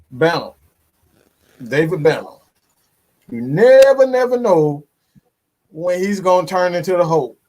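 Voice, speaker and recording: A man's voice: a little high, a little mellow. A man hosting a sports live stream in a casual, boastful, playfully threatening way, with slang, repeated phrases and swearing. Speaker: sports show host.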